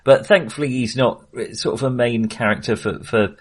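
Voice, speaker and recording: A man's voice: mid-range voice, moderate pace, husky. A man co-hosting a casual film discussion in a conversational, hedging manner. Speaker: film podcast co-host.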